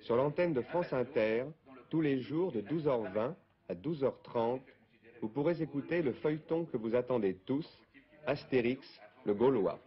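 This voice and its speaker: A man's voice: medium pitch, expressive, moderate pace. Man, vintage radio announcer, emphatic delivery, muffled dull sound. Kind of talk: broadcast announcement.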